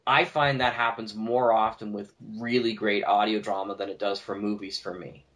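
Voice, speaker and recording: A man's voice: fairly low pitch, steady tone, normal speed, a bit bright. A man talking casually and enthusiastically as a guest on a podcast. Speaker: podcast guest, audio drama producer.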